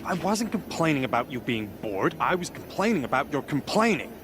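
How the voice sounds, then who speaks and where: An actor playing an irritable, bickering guard in a video game. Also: male voice, fairly high pitch, big pitch swings, fairly quick, background noise. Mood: irritated, bickering.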